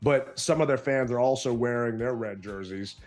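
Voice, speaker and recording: A man co-hosting a podcast, telling an animated story and acting out his side of an argument in casual chat. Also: medium pitch, moderate pace, faint music behind. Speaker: podcast co-host.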